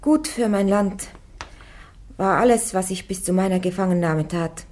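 An actress playing a devout young woman warrior on trial, answering her judges firmly and calmly in a radio drama. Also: mid-range voice, moderate pace, close to an everyday voice. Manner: firm, calm, defiant answers.

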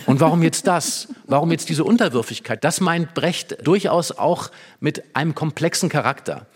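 A man's voice: a little high, lively intonation, moderate pace, breathy edge. A man talking in a conversational, explanatory way, analytical and emphatic, often posing rhetorical questions. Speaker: literary discussion guest explaining a play.

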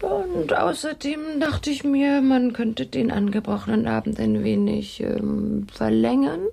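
An actress playing a flirtatious, quick-witted, self-assured detective's partner in a German crime radio play, with a coy, teasing manner. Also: female voice, on the high side, gravelly, mellow, breathy edge, heavily acted.

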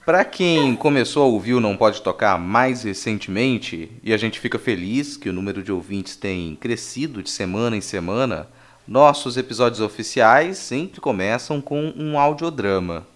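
A man's voice: lowish voice, lively intonation, moderate pace. A man with a Brazilian accent hosting a podcast casually, with joking, mock-serious asides. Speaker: podcast host. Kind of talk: casual, playful podcast presentation.

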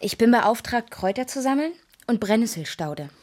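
An actress in a German radio drama playing a naive, chatty servant girl who rambles haltingly, devoted and deferential. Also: a little high, expressive, a little mellow, lightly acted. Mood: earnest, dreamy.

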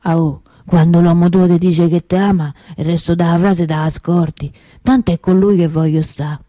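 A woman's voice: on the low side, fairly even intonation, normal speed, dark tone, no echo, studio quality, natural voice. An actress playing a chatty, good-natured middle-aged Roman woman who sells souvenirs, colloquial and familiar, with a Roman accent. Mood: fond, confiding. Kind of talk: theatrical comic monologue for radio.

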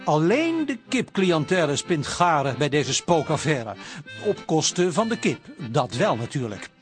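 Guy narrating radio serial outro, fairly high voice, very lively, playful drama, music under. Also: unhurried.

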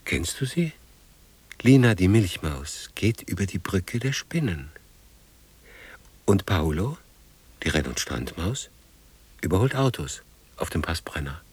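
An actor playing a weary, brooding older man in a radio drama, speaking gravely in halting fragments. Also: sits low, big pitch swings, a little mellow, full character voice. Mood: urgent, musing.

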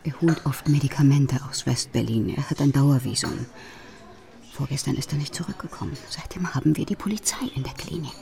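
An actress in a radio drama playing a guarded, worried East German clinic secretary with a clipped, discreet manner. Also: female voice, extremely low pitch, fairly quick, vocal fry, dark tone. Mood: worried, hushed.

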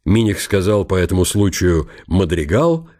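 A man's voice: deep voice, sing-song, normal speed, mellow. A man narrating a historical radio drama in a dramatised literary style.

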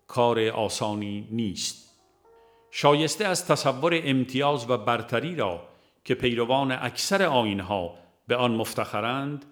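A man narrates a religious audiobook in a measured, formal reading manner. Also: mid-range voice, a bit bright. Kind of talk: scripted narration of a religious book.

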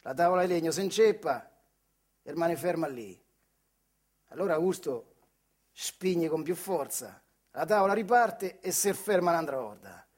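A man's voice: on the high side, expressive, normal speed, pauses often, darkish tone. A man with a central Italian accent from the Marche tells a story as a theatrical monologue in colloquial, emphatic short phrases. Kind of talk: dramatic theatrical storytelling in dialect.

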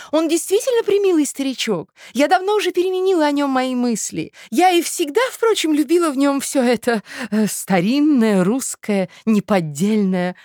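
A scheming society mother from a storybook, sugary and theatrical. Her voice sits high and swoops extravagantly as she coaxes and fusses. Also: darkish tone, no room sound, clean studio sound. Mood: delighted farewell. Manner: theatrical, effusive, peppered with French endearments.

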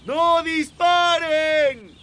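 Alarmed gamekeeper yelling, super high sharp drawn out calls, radio play. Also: male voice, full character voice. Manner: urgent, drawn-out shouting. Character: alarmed head gamekeeper shouting across a hunt. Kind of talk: radio drama acting.

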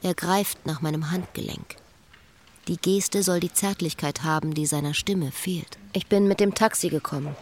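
A woman's voice: lowish voice, steady tone, moderate pace, slight background noise, natural voice. An actress playing a bitter, watchful woman who narrates and talks to a former lover in a sardonic, observant way in a literary radio play. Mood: wry, reflective.

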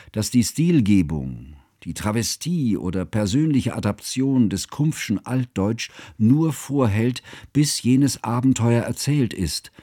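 An actor playing an educated male scholar who narrates his friend's life in a measured, formal, pedantic way for a literary radio drama. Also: medium pitch, mellow, dry recording, studio quality. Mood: scholarly, measured.

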